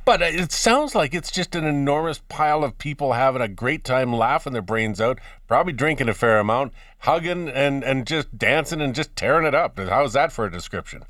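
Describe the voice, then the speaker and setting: Animated man hosting, swinging pitch. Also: moderate pace, hardly any pauses, no echo, studio quality, recorded from a distance. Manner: colourful, slangy, rambling questions. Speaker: radio show host. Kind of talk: casual, chatty interview banter.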